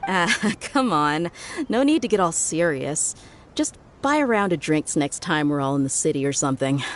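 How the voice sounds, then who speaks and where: A woman's voice: low voice, expressive, moderate pace, a little hiss or hum. An actress playing a brash, easygoing female mercenary who jokes and laughs easily in a video game. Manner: breezy, joking, laughs easily. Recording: game music behind.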